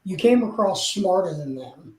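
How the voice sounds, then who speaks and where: A man's voice: sits high, lively intonation, normal speed, darkish tone, echoey room, low-quality recording. An older man chatting casually with a rambling, hesitant way of talking. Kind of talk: casual chat.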